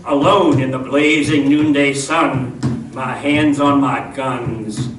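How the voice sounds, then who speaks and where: A man playing a dryly boastful cowboy lawman who narrates his showdown in deadpan old-time radio comedy style. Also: mid-range voice, takes it slowly, natural voice. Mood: mock-solemn. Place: live stage radio show in a room. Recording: echoey stage, distant mic, clear noise.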